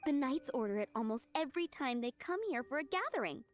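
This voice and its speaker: A friendly young restaurant woman in an anime game. Her voice is high and very animated, with an effusive, encouraging delivery. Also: quick pace, soft background music. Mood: delighted, encouraging. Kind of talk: anime video game voice acting.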